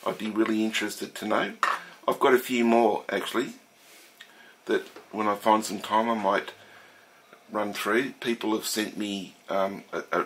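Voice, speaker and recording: A middle-aged Australian man with a nasal, stuffed-up voice, narrating a casual collector's video from his workshop, slow and thoughtful with many fillers. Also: on the low side, slight background noise, poor audio. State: recovering from a head cold. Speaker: steam engine collector. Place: home workshop room.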